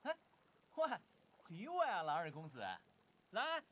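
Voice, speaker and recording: An actor voicing a cheeky, clever young male cultivator in an animated fantasy drama, playful and teasing. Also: sits high, unhurried, full character voice.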